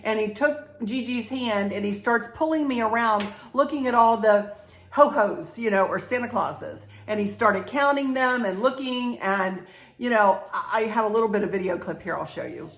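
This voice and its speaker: A woman's voice: fairly high pitch, expressive, normal speed. An older woman, chatting bubbly and congested as a home decor vlogger talking straight to her viewers. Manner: bubbly, talks straight to viewers, warm fillers. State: congested, clearing throat. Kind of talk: casual chatty vlog. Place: home interior room. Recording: echoey room.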